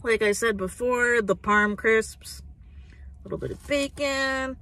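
Young woman, food vlogger, chatty and casual, slightly high voice, phone camera in a parked car. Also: measured pace, pushed and bright. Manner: chatty, lots of "like" and "um". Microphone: phone camera on dashboard.

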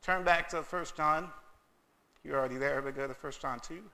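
A man's voice: on the high side, moderate pace, sharp tone. A man preaching a sermon in a church, earnest and emphatic, often acting out quoted voices. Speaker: pastor. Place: church sanctuary.

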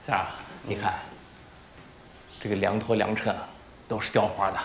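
A man's voice: fairly low pitch, flat delivery, normal speed, creaky, slight background noise. An actor playing a stubborn middle-aged village man selling his house in a film, folksy and emphatic. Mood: proud, easygoing.

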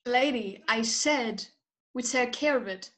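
Slightly high woman's voice, young actress as condescending police officer, measured, dismissive, radio-play acting. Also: lightly acted. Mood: curt, dismissive. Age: young adult. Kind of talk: radio-play acting over video call.

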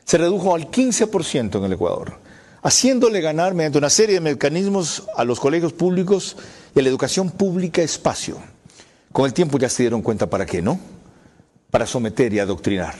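A man's voice: a little high, sing-song, brisk, darkish tone. A middle-aged man giving assertive opinion commentary in a radio studio. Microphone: studio mic on a desk.

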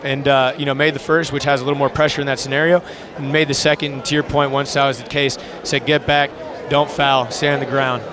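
A man's voice: mid-range voice, level tone, fairly quick. A young man, a basketball coach, talking informally and earnestly in a post-game interview on a headset mic. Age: young adult. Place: courtside in an indoor arena. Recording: crowd noise in busy arena.

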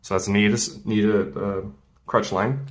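A man with a fairly low voice walks through a hands-on task at a work table. He speaks informally, thinking aloud as he goes, like an instructor showing a craft. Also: fairly even intonation, normal speed. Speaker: tailoring instructor. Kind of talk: casual hands-on demonstration.

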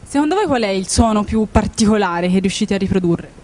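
A woman's voice: medium pitch, animated, brisk, a little mellow. A woman reporter asks interview questions for a news feature into a handheld microphone.

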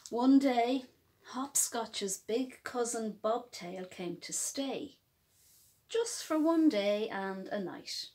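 A woman reading a picture book aloud as a storyteller, with expressive, emphatic phrasing for young children. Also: on the high side, takes it slowly, poor audio.

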